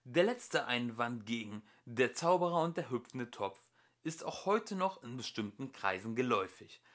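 A young man reading a storybook as an amateur audiobook narrator, in an expressive storytelling style. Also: mid-range voice, normal speed.

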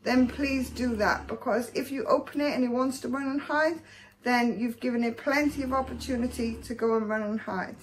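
A young woman sending up a male tarantula keeper's care video, reading facts in a steady, informative way from a small room full of spider tubs. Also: on the high side, moderate pace, a little hiss or hum, close to an everyday voice, rough recording. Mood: earnest. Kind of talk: parody of a pet-care video.

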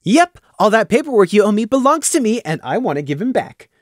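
A man voicing a bubbly, pushy female unicorn mayor who chatters brightly and bosses everyone around. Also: high voice, sing-song, dry recording, clean studio sound, voice pushed up. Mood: bubbly bossy. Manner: bright, bossy, rapid-fire chatter.

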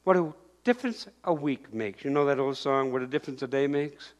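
A man's voice: medium pitch, brisk. An older man preaching a sermon in a church, moving about and raising his voice in bursts. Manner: animated preaching, shouting acted-out crowd voices and pointing. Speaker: pastor. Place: church sanctuary.

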